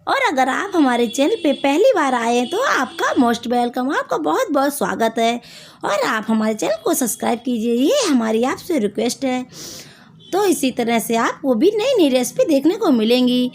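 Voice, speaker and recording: A woman hosting a home cooking video, hoarse from a sore throat, chatting to viewers as she explains each step. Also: high voice, sing-song, moderate pace. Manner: chatty, addresses viewers directly. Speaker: cooking channel host.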